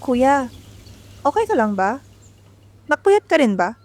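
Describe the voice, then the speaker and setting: A woman's voice: high voice, sing-song, takes it slowly, a little mellow, exaggerated voice. A woman with a Filipino accent playing a casual, teasing young sister in an amateur radio drama. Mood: tired, casual.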